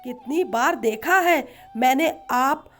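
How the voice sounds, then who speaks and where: Woman voicing a defiant, high-pitched teenage daughter. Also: slow pace, a bit bright, faint music behind. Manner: argumentative, rhetorical questioning. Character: defiant, brazen nineteen-year-old daughter arguing back. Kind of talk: storybook dialogue in narration.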